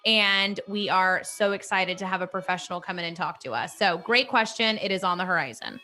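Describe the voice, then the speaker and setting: The bright-voiced thirty-something woman at the sports bar table, eagerly chatting through every player with her friends. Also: fairly low pitch, a little fast. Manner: chatty, enthusiastic. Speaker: co-host of a sports podcast. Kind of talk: casual sports chat.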